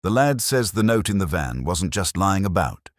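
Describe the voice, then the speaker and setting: A man's voice: sits low, big pitch swings, moderate pace, no room sound, studio quality, voice pushed up. A man voicing an eager, self-satisfied police sergeant in a mystery story. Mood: proud reporting. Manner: eager, assertive.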